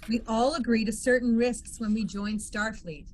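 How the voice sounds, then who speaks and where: Military lawyer, female. Dry, guarded, slightly high voice, light background noise. Woman acting at a table read. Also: steady tone, moderate pace, darkish tone, lightly acted. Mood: cool, dry. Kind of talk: science fiction script table read.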